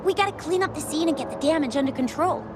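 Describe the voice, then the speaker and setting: A woman playing an earnest, spirited young woman in a video game, talking in an expressive, colloquial way. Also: high-pitched, quick pace, noisy background, lightly acted. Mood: earnest, businesslike. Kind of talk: video game character dialogue.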